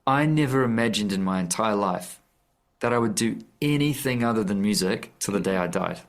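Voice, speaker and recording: A man's voice: lowish voice, expressive, brisk. A man, an author and musician, speaking earnestly in a radio interview, often restarting his sentences as he searches for words. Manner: earnest, searching, frequent restarts and self-corrections. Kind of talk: reflective conversational interview. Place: radio studio.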